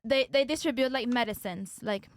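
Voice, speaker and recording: Young Venezuelan woman, halting speech. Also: a little high. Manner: hesitant, searching for words, many restarts. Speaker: guest. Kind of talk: casual debate talk.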